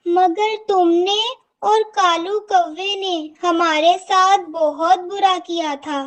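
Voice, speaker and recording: An actor voicing a gentle, hardworking mother bird in a children's cartoon. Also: very high-pitched, fairly even intonation, slow, heavily acted. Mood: hurt. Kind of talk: Hindi cartoon dialogue.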